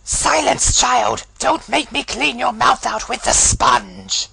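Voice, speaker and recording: A man doing a shrill, crazed old granny. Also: takes it slowly, creaky, crowd noise, exaggerated voice. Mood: ranting. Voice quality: shrill falsetto. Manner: ranting, preachy. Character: shrieking crazy old grandmother worshipping a sponge.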